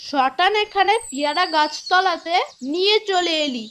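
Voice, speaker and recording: A woman voicing a timid, excitable little village boy in a children's cartoon, nervous and easily rattled. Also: extremely high pitch, measured pace. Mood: flustered. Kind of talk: children's moral-story cartoon acting.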